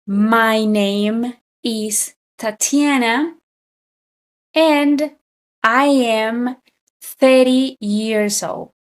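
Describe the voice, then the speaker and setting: A woman in her thirties from Colombia teaching a beginner English lesson, speaking slowly and deliberately and repeating each phrase. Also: on the high side. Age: 30s. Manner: very slow, deliberate, with frequent repetition.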